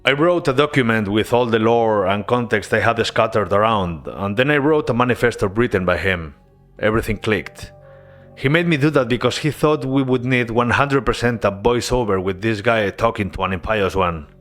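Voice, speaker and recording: A man narrating his game-development devlog as a voice-over, earnest and relaxed, with casual asides. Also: lowish voice, normal speed, soft background music.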